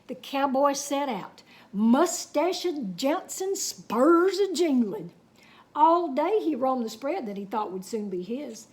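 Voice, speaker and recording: A woman reading a children's picture book aloud, with expressive, drawn-out words and folksy asides. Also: high-pitched.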